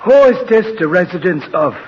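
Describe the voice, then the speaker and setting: A man's voice: high-pitched, expressive, fairly quick. An actor playing a cocky young delivery man who mixes pompous, flowery speech with slang, in 1940s radio comedy style. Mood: officious, pompous. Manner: pompous mock-formal speech mixed with slang. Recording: dull, noisy 1940s radio recording.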